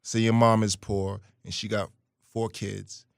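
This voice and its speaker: A man's voice: fairly low pitch, measured pace, gravelly, clear, resonant tone, dry recording, clean studio sound. A man from Brooklyn and Guyana, a musician guest telling stories in a casual interview. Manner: animated storyteller, hums melody lines.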